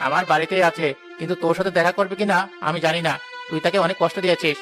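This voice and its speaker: Man acting as wise village uncle, earnest and admonishing, quick high voice, music underneath. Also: steady tone, fairly bright tone, close to an everyday voice. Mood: reproachful. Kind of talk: Bengali moral-story cartoon acting.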